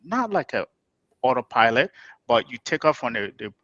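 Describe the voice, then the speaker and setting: A man speaking as a panel guest, halting and searching for words in a casual discussion. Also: mid-range voice, sing-song, a bit bright, dry recording. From Liberia. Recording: dry, dull lo-fi sound.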